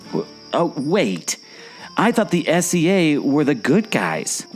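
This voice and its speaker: A man's voice: on the high side, huge pitch swings, slow pace, faint music behind, exaggerated voice. A man playing a naive, curious sidekick with a taste for corny jokes in a scripted audio drama. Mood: curious, surprised. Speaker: curious companion.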